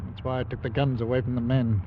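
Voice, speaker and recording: A man's voice: mid-range voice, flat delivery, quick pace, lightly acted. Man playing a steadying older captain, fatherly and plain-spoken, old radio play, slightly noisy muffled recording. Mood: weary. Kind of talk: old-time radio drama acting. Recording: dull vintage radio recording, faint noise.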